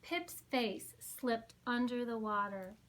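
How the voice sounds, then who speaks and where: Woman, picture-book read-aloud, high voice, very slow, expressive, drawn-out phrasing. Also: poor audio. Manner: drawn-out, dramatic phrasing with little asides. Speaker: children's storytime reader.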